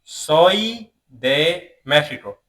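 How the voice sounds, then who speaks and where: Young male language teacher, bright, slightly high voice, slow and patient, repeats every phrase, a bit off-mic. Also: expressive, far from the mic. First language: not Spanish. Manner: repeats each phrase slowly and clearly, then gives the English. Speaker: Spanish teacher. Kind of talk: slow beginner language lesson.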